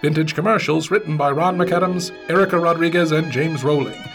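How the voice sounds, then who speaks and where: A man's voice: a little high, a little fast, gravelly, over music. A man reading scripted closing credits as an announcer for a radio play production.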